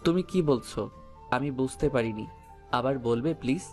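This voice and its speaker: A mischievous young husband, acted by a man in a romantic audio story. His voice sits at a middle pitch, coy and teasing, with music playing underneath. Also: normal speed, warm tone, close to an everyday voice. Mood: puzzled.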